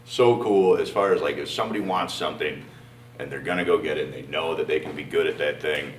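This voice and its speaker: A man's voice: extremely low pitch, fast talker, slight background noise. A man hosting an interview show, talking in an upbeat, enthusiastic way. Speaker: show host. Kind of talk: casual interview. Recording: roomy distant sound in a gym.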